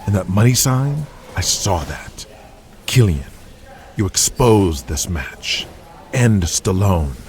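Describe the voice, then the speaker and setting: A man's voice: low-pitched, sing-song, takes it slowly, darkish tone, heavily acted. A man voicing a stern, cynical wrestling promoter in an audio drama, speaking in a curt, accusatory manner. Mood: stern, accusing.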